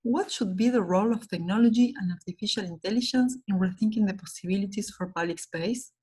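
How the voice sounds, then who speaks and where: Husky woman interviewer, off-mic. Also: medium pitch, fast talker, darkish tone, low-quality recording.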